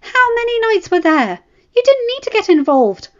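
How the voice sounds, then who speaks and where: A woman voicing a pregnant young noblewoman, sharp-tongued and fierce, with a high, heated scolding manner, read as a storybook. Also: expressive, a little slow. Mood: angry. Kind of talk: storybook reading. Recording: slightly dull lo-fi recording.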